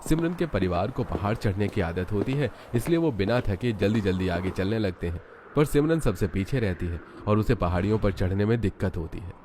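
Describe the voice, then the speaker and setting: A male narrator tells a scripted cartoon story in a lowish register. There is some faint noise in the recording. The delivery is that of a storyteller reading from a script. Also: expressive, fairly quick, mellow.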